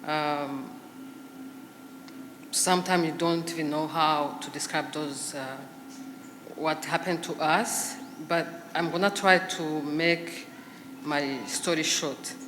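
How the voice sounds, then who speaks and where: A woman gives her testimony as a genocide survivor, speaking haltingly with many pauses and fillers at a podium microphone. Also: low-pitched, steady tone. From Rwanda. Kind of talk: personal testimony speech. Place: large commemoration hall. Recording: echoey hall with faint background noise.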